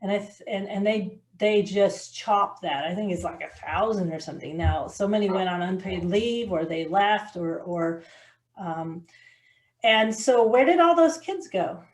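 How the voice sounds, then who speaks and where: A woman's voice: mid-range voice, unhurried. Middle-aged New woman, guest on a podcast; earnest and heartfelt, restarts often. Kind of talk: casual interview conversation.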